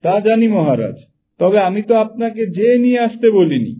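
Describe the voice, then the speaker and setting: A cunning cartoon minister, performed by a man, with a high, dark-toned voice. He is flattering and sly, always deferring obsequiously to his master. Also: fairly quick, a little hiss or hum. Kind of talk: Bengali cartoon dubbing.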